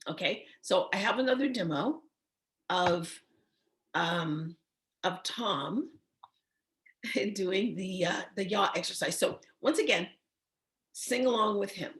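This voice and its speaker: A woman voice teacher with a slightly hoarse, froggy voice, giving an online singing lesson and checking in often with "okay?". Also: medium pitch, lively intonation, takes it slowly, pauses often, a bit bright. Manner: encouraging, checks in with frequent "okay?".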